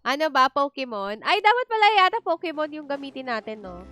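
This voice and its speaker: A woman's voice: sits high, very animated, fast talker, bright, forceful tone. A woman with a Filipino accent giving casual, chatty live commentary as she streams a game, thinking aloud. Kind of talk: casual live gameplay commentary in mixed Tagalog and English.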